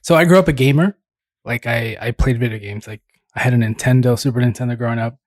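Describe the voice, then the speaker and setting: A man co-hosting a podcast, telling a lively, self-deprecating story in casual chat. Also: mid-range voice, quick pace, dry recording, clean studio sound. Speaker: podcast co-host.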